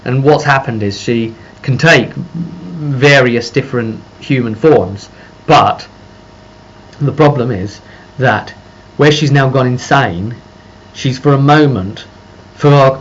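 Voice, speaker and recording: A man's voice: medium pitch, unhurried, breathy edge. A man speaking casually and unscripted as a game master recounting a tabletop session, rambling as he pieces the story together. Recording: dull lo-fi microphone with faint noise.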